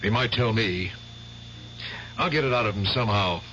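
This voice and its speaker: An anxious former prisoner of war in an old radio drama. His lowish voice is urgent and pressing. The broadcast sounds dull, with a faint hiss. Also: moderate pace, pushed and bright. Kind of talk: 1970s radio drama acting.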